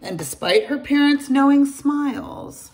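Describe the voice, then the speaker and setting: A middle-aged woman reading a children's picture book aloud to her class, in an animated storytelling manner, recorded on a close phone camera in a large room at home. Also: high-pitched, a little slow, soft background music, low-quality recording. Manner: animated storytelling, addresses children by name, gravelly edge. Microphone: phone or laptop camera at close range.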